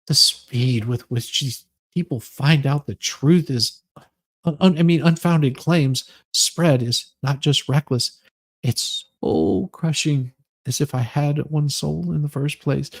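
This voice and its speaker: A man hosting a livestream, moving between casual chat and mock-dramatic readings with theatrical, drawn-out emphasis. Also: on the high side, dry recording. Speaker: livestream host.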